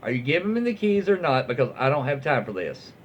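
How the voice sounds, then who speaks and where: A man's voice: medium pitch, sing-song, fast, close to an everyday voice. Man playing an indignant, entitled Southern mother. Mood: demanding. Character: entitled, indignant, demanding middle-aged mother. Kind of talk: acted story reading. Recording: dull lo-fi audio with faint noise.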